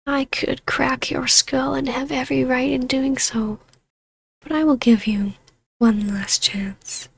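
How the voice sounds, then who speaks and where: Woman playing a hushed, high-voiced imperious vampire baroness. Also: steady tone, exaggerated voice. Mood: stern, merciful. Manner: slow, hushed, breathy delivery slipping into whispers; taunting, imperious, measured. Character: vampire baroness, ancient huntress turned ruler, taunting, imperious, regal. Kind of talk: scripted ASMR audio roleplay.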